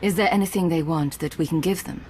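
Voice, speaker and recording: An actress playing a composed, dry-witted rebel commander in a video game, cool and understated. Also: female voice, on the low side, fast, darkish tone, a little hiss or hum, lightly acted. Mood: wry.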